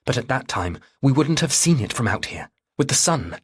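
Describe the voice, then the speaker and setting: A man's voice: mid-range voice, sing-song, normal speed, breathy edge, voice pushed up. A man voicing a tense, determined mother who speaks urgently and insistently in an audiobook thriller. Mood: urgent, brisk.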